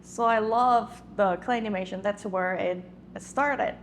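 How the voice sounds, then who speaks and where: A woman's voice: medium pitch, a little slow, a little hiss or hum. A woman from South Korea, a native Korean speaker, chatting about her path as an artist, searching for words with many fillers. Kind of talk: panel talk.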